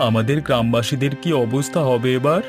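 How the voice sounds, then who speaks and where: A man's voice: medium pitch, brisk, over music, close to an everyday voice. A man voicing an earnest, agreeable younger village man eager to help, in scripted cartoon dialogue. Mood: worried.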